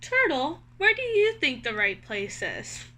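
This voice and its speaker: An earnest, puzzled male squirrel from a children's storybook, put on by a woman. The voice is high and bright, with an insistent, questioning way of talking. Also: wildly swooping pitch, measured pace, a little hiss or hum, full character voice.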